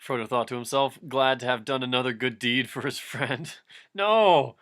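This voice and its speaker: A man's voice: medium pitch, moderate pace, a bit bright. A man reading fan fiction aloud for laughs, mock-dramatic, often interrupting himself with casual, giggly asides.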